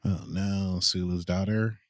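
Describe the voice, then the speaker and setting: A man's voice: low-pitched, steady tone, unhurried, no echo, studio quality. A man co-hosting a podcast, giving playful, goofy watchalong commentary and laughing often. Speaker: podcast co-host.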